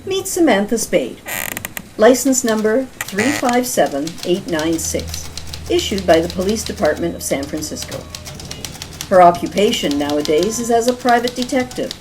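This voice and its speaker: A woman announcing and narrating a radio-style mystery drama in a measured, dramatic storytelling manner. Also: mid-range voice, expressive, background noise. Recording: music clearly behind the voice.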